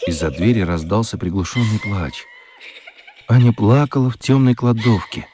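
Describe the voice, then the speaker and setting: A man narrating a children's radio play as an expressive storyteller. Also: on the low side, normal speed, background noise.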